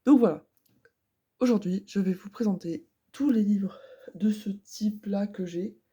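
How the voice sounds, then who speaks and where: A woman's voice: medium pitch, unhurried, warm tone, slightly rough. A woman in her twenties with a stuffy nose from a cold, chatting casually and hesitantly about her crafts. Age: 20s. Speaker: craft vlogger. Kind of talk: casual chat.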